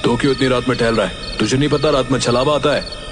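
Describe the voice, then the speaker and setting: A man playing a wary, blunt villager in an animated horror story. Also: medium pitch, fast talker, music in the background, natural voice. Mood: accusing.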